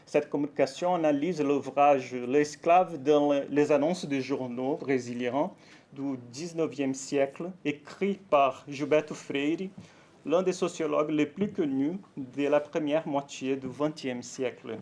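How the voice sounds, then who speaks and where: A Brazilian man with a Portuguese accent giving a conference talk in French, halting and careful, with frequent fillers and self-corrections. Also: on the high side. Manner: nervous, warm, laughs lightly. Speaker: academic speaker at a conference.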